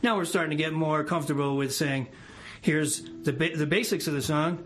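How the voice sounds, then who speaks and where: A man's voice: a little high, fairly quick. A male musician guest in a casual radio interview, explaining things in a rambling way. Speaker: musician guest, bass player and songwriter. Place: radio studio.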